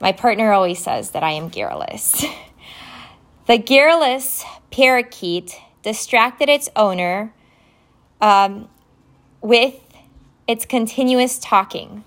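A woman whose first language is Romanian, hosting a podcast and going slowly through vocabulary words, spelling each one letter by letter. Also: on the high side, lively intonation, a bit bright. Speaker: podcast host. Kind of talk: vocabulary lesson, casual spelling and definitions. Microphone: phone app recording.

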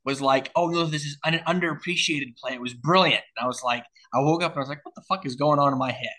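Bright-voiced, easygoing male co-host. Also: a little high, quick pace. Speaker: podcast co-host. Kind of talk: casual chat.

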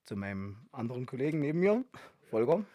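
A man's voice: mid-range voice, big pitch swings, fast, mellow, no room sound. A man in his thirties hosting a casual podcast chat and cracking jokes as he talks. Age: 30s. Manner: joking, chatty. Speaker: podcast host and linebacker coach.

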